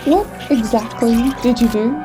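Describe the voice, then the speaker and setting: A woman's voice: fairly high pitch, measured pace, over loud music, close to an everyday voice. A woman playing a plucky, trusting young companion in a fan audio drama, with a plain, questioning, lightly dry manner. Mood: trusting, curious.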